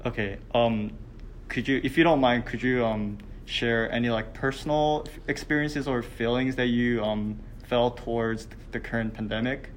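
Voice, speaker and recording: A young man, a student, interviewing a classmate and asking his questions hesitantly, with many pauses and restarts. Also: mid-range voice, a little hiss or hum. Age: young adult. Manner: hesitant, full of ums and restarts. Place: hotel meeting room.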